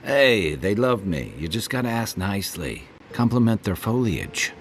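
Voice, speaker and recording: An actor playing a jovial, warm-hearted rogue of an adventuring companion in a video game, teasing and easygoing. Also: male voice, lowish voice, very animated, normal speed, a little hiss or hum. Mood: playful. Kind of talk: conversational video game voice acting. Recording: voice over game music.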